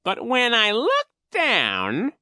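A woman voicing a wicked, smug male gym coach in a storybook, with a sly, drawn-out theatrical delivery. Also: on the high side, huge pitch swings, pushed and bright, no echo, clean studio sound, pitched above the performer's own voice, full character voice. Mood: mock aggrieved.

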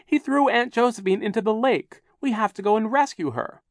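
A man's voice: high-pitched, expressive, moderate pace, no room sound, studio quality, pitched above the performer's own voice. A man voicing an earnest young orphan girl in an audiobook, urgent and pleading. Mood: indignant shouting.

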